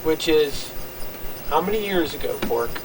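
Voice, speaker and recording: A man with a fairly high voice hosting a radio oldies show in quick, chummy patter. He sounds a little off the mic, with a light hiss in the background of an old broadcast tape. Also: expressive, recorded from a distance. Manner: upbeat, chummy, fast patter. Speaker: radio disc jockey host.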